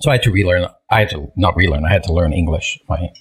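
Very deep, husky-voiced man in his fifties. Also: fast. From Israel. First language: Hebrew. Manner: hesitant, many restarts and fillers. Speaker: podcast guest. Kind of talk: casual interview storytelling.

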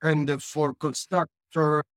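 A man with an Italian accent, an architect speaking on a panel, halting with many filler pauses. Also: fairly high pitch, flat delivery, no echo, studio quality. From Italy. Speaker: architect and glamping designer. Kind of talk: panel discussion.

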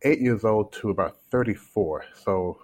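A man's voice: on the low side, normal speed, darkish tone. A man in his forties with a North American accent, telling his story conversationally as a podcast guest. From Canada, then United States from age eight. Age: 40s. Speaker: life coach, podcast guest.

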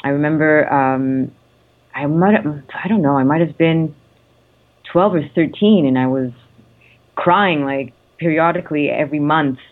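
A woman in her thirties from Canada, a guest actress answering interview questions in a reflective way with many pauses and ums. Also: deep voice. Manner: warm, hesitant, lots of ums. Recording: tinny phone-like line.

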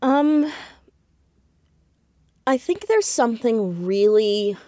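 A woman being interviewed as an audio drama creator, talking conversationally in an expressive, effusive way. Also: fairly high pitch, very slow, no room sound, clean studio sound. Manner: expressive, effusive, acts out quoted dialogue. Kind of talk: animated conversational interview, storytelling with acted quotes.

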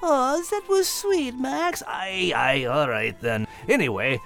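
Brisk Scottish terrier host, swooping pitch. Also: male voice, sing-song, vocal fry, fairly bright tone, faint music behind, heavily acted. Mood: brisk, flustered. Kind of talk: scripted comic character banter.

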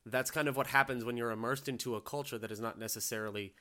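A man hosting a podcast conversation, talking in a measured, reflective way and affirming his guest. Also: medium pitch, steady tone, bright, forceful tone. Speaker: podcast host. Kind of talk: casual conversation.

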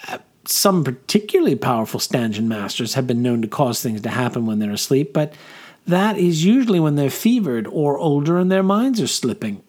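A man's voice: mid-range voice, big pitch swings, normal speed, darkish tone. A man voicing a formal, concerned noblewoman in an audiobook. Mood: explaining. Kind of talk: audiobook character dialogue.

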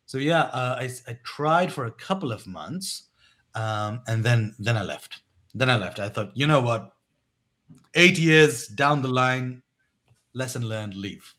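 A man in his thirties, born in Pakistan and raised in England, calmly recounting his past as a guest on an interview show, with frequent restarts. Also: mid-range voice. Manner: reflective, measured, with many restarts. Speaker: guest, education company co-founder. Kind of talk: storytelling in a podcast interview.

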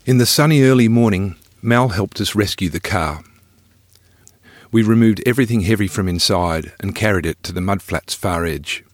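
A man's voice: fairly low pitch, normal speed, no room sound, studio quality. A middle-aged man reading his own travel memoir as scripted first-person narration.

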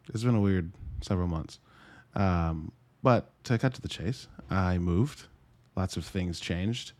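A man with a fairly low voice hosts a solo show about his reviewing. He speaks informally and conversationally, straight to listeners. His delivery rambles, and he breaks into his own sentences with asides. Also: a little mellow. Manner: rambling, conversational, self-interrupting. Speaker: podcast host and reviewer of films and games. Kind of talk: casual solo talk to listeners.

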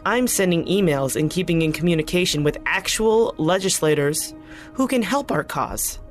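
A woman playing a cautious, defensive reformer with a measured way of talking in an audio drama. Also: fairly low pitch, animated, soft background music, natural voice. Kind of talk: naturalistic audio drama acting.

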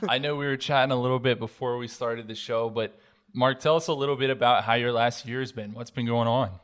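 A man's voice: medium pitch, fairly even intonation, brisk, clean tone. A man co-hosting a podcast in casual interview chat. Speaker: podcast co-host. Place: remote video call.

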